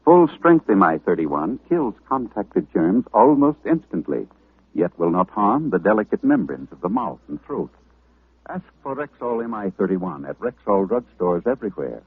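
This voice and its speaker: An actor playing a dependable family druggist, reading a scripted old radio commercial. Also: male voice, on the low side, big pitch swings, moderate pace, slightly rough, no room sound, heavily acted. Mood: earnest selling. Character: dependable, folksy male family druggist pitchman. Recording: muffled dry old radio recording.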